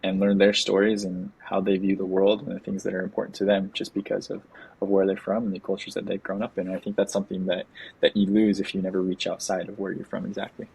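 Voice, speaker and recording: A man's voice: low voice, completely flat delivery, quick pace, gravelly, a little mellow, poor audio. A man hosting a podcast interview, earnest and enthusiastic, often restarting his words. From United States. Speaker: podcast host. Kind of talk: casual interview chat.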